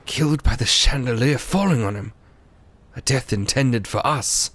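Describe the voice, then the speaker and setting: A man playing an excitable, loyal doctor sidekick in a radio play, flustered and prone to startled exclamations. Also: medium pitch, moderate pace, close to an everyday voice. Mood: weary, uneasy. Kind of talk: community radio theater acting.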